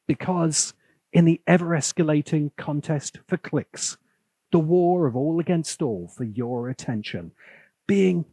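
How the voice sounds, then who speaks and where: A man's voice: mid-range voice, dark tone, breathy edge, dry recording. A man hosting a scripted video essay, narrating with sardonic, dramatic emphasis. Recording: music playing behind the voice.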